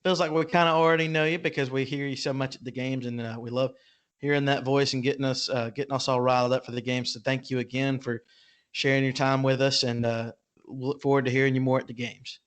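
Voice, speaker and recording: A man hosting a casual podcast interview, speaking in a halting, informal way. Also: medium pitch, level tone. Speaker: podcast host.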